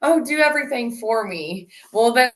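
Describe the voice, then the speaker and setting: A woman hosting an interview podcast, chatty and animated, saying "like" a lot and laughing often. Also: on the high side, moderate pace. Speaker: podcast host. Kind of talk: casual interview chat.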